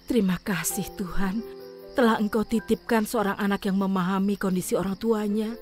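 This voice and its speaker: A loving, worried village mother, played by a woman, pleads tenderly with her son in an audio drama. Soft music drifts faintly underneath her lines. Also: medium pitch, steady tone, a little fast, natural voice.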